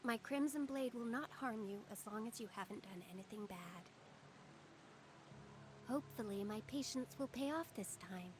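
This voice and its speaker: A woman voicing an earnest young female swordswoman in a game, soft-spoken and sincere. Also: a little high, normal speed, background noise, close to an everyday voice. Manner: earnest and soft-spoken, proud battle cries. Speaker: game character. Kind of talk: game character voice lines.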